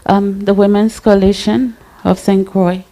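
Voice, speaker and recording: A woman speaking at a community memorial event, delivering remarks and poetry in short, measured phrases. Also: medium pitch, level tone, warm tone, rough recording.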